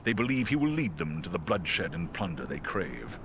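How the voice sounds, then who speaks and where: Gravelly, deep, noble giant eagle. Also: male voice, big pitch swings, moderate pace, noisy background. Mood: grave warning. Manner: stately, formal, declamatory. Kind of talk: fantasy game cutscene acting.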